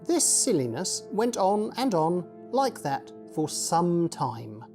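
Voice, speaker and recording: Woman, children's story reader, mellow tone, slow, lilting. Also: lowish voice, sing-song, soft background music.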